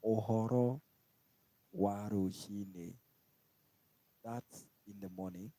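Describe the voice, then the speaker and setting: A man from Kenya with a Kenyan accent, giving a casual language lesson and slowly repeating each word. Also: fairly low pitch, steady tone, warm tone. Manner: slow, repetitive, spells out each word twice. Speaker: language teacher. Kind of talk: informal live-stream language lesson.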